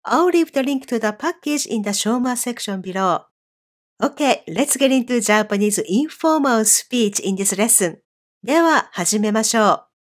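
Woman, Japanese teacher, fairly high pitch, clear, measured, scripted online lesson. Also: lively intonation. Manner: clear, measured explaining, slowing on example phrases.

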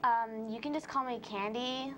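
A woman's voice: fairly high pitch, steady tone, normal speed, slight background noise, lightly acted. A young woman playing an airheaded, bubbly Valentine's Day enthusiast on a mock debate panel. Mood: gushing. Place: student TV studio set.

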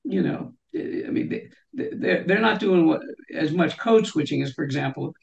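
A woman's voice: fairly low pitch, sing-song, moderate pace, gravelly, darkish tone, lo-fi sound. An older woman, a guest on an interview show, speaks from home over a video call, with many restarts and "you know" fillers. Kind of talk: interview conversation. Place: home room with shelves.

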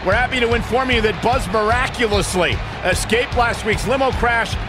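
An actor playing an excitable play-by-play wrestling announcer with a projected broadcast delivery in a video game. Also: male voice, very high-pitched, normal speed, music in the background. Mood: announcing. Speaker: commentator. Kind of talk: sports commentary.

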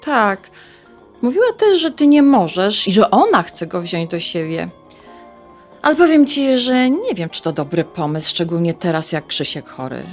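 Middle-aged mom, woman acting, chatty and motherly, natural radio-drama dialogue, mid-pitched voice. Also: normal speed, soft background music, natural voice. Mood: gentle, advising. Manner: colloquial, expressive, motherly. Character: chatty, warm-hearted middle-aged mother of grown son.

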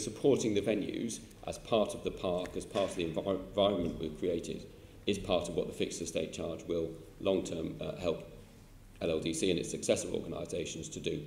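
An older man with a British accent speaks low and deliberately, pausing often on filler sounds as he answers questions. His voice carries through a large council chamber with a long reverberant tail and faint room noise. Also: creaky, darkish tone. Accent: British English. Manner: measured, halting, many filler sounds. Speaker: chief executive answering questions. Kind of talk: formal committee testimony. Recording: echoey hall with faint ambience.

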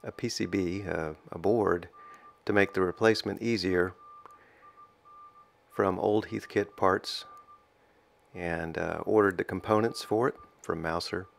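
A man giving a measured, halting voice-over narration as a hobbyist explaining his own project. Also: low-pitched, fairly even intonation, creaky. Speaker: amateur radio hobbyist narrating own restoration.

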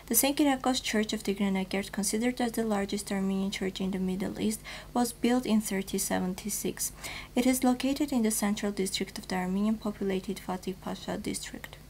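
A woman's voice: mid-range voice, brisk, darkish tone, a little hiss or hum. Young woman reading the news formally. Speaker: news anchor. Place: TV news studio, virtual set.

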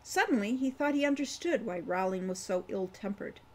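A woman in her sixties narrating a fantasy novel in a measured, careful reading style at home. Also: medium pitch, expressive. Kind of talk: audiobook-style story narration. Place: room at home.

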